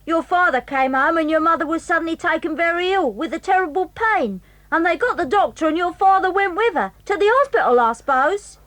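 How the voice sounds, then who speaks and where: A sullen, insolent boy in a 1980s audio drama who answers back without a trace of shame, as an actress voices him. The voice is high and lively in pitch. The sound is dry and close. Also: fairly quick, no room sound. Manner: insolent, sulky. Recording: dry studio.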